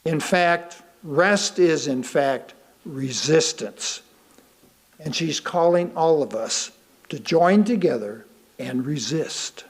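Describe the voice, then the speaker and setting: A man reading a sermon aloud to a congregation, deliberately, pausing between short phrases. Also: fairly high pitch. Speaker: guest speaker reading a sermon.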